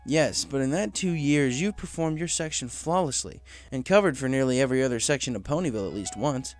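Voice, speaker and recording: A man's voice: medium pitch, lively intonation, fairly quick, soft background music, lightly acted. A man voicing a confident, encouraging male pegasus pony boss in a story, assured and businesslike yet casual.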